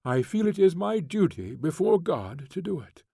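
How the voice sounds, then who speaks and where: A man's voice: fairly high pitch, very animated, moderate pace, creaky, warm tone, breathy edge, no room sound, studio quality, pitched above the performer's own voice, exaggerated voice. A man playing a remorseful pleading prince. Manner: earnest, pleading. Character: remorseful gentleman prince seeking atonement.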